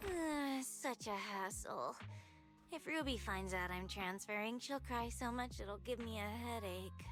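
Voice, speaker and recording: A woman voicing an aloof, listless teenage schoolgirl in an anime-style video game, deadpan and easily bothered. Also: mid-range voice, natural voice. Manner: deadpan, languid, unbothered.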